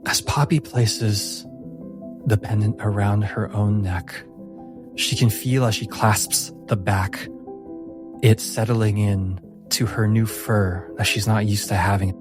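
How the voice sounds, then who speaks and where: A man narrating a tabletop game as game master, telling the story dramatically with deliberate, suspenseful pauses. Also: fairly low pitch, steady tone. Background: music.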